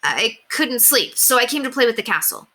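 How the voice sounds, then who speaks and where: An eager, anxious young storybook boy played by a woman, full of curious questions. The voice sits high and swings around a lot, with a bright edge. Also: very animated, moderate pace, full character voice. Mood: casual.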